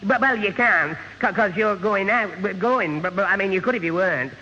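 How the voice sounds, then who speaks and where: A man's voice: high voice, a little fast, pushed and bright. A man playing a bumbling young man in a radio sitcom, stuttering and repeating himself in confusion. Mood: flustered, muddled. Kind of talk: 1970 radio sitcom acting. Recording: muffled old radio recording, hall reverb, hiss.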